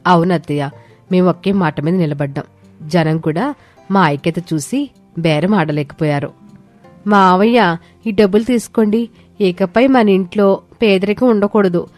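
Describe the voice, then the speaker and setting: A woman's voice: on the low side, unhurried, pauses often, darkish tone, close to an everyday voice. A woman voicing a spirited young village daughter-in-law in a moral story, earnest and emphatic. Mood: proud, happy. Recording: music behind the voice.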